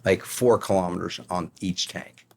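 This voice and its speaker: Middle-aged man, American accent, very deep voice, conversational storytelling, retired military officer as podcast guest. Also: fairly even intonation, normal speed, creaky.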